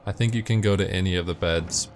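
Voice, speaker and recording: A man's voice: deep voice, fast talker, slight background noise. A man giving matter-of-fact, step-by-step instructional commentary as he narrates a video game walkthrough.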